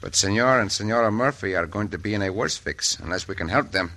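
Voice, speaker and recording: An actor playing a bold, gallant Mexican cowboy hero in an old radio western, with a Mexican accent and formal, dramatic phrasing. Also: male voice, fairly low pitch, quick pace, pushed and bright. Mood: grimly determined. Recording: noisy, crackly old radio recording.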